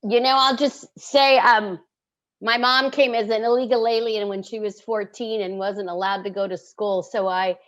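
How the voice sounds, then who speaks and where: A woman's voice: mid-range voice, fairly even intonation, normal speed, fairly bright tone. A woman speaking reflectively and personally on a panel discussion. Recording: dull lo-fi sound.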